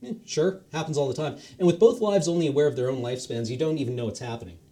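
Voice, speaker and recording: A man's voice: on the high side, fast talker, mellow, close to an everyday voice. A man reading the lines of a casual, patient male God who talks like a teacher. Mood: offhand. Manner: breezy and offhand, teacherly.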